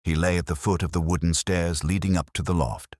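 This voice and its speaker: A man voicing a weary middle-aged detective, narrating in a measured, melancholy, literary way. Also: sits low, steady tone, no room sound, studio quality. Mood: brooding. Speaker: first-person narrator. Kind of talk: scripted audio drama narration.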